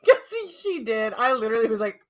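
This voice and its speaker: A woman's voice: a little high, very animated, a little fast. A woman co-hosting a podcast in casual chat, effusive and giggly in her delivery. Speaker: podcast co-host. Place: remote internet call.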